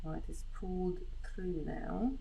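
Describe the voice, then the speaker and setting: A woman's voice: fairly low pitch, fairly even intonation, slow, gravelly, mellow, a little hiss or hum, low-quality recording. A middle-aged woman from Hungary chatting casually on a live craft stream, rambling and thinking aloud as she works. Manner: rambling, chatty, thinking aloud while working with her hands.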